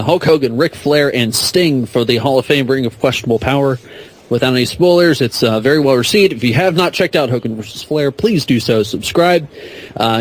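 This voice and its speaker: A man speaking as a podcast guest in a casual, comedic, rambling way. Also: mid-range voice. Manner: rambling, jokey.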